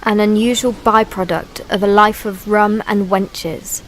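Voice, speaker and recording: Casting: woman as the ancient Sea, solemn storyteller, unhurried poetic delivery, mid pitch, rain and water behind. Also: steady tone, a little hiss or hum, lightly acted, low-quality recording. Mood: brooding. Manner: grave, poetic, deliberate. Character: the ancient Sea itself, solemn, brooding female narrator. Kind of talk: scripted dramatic narration in a radio play.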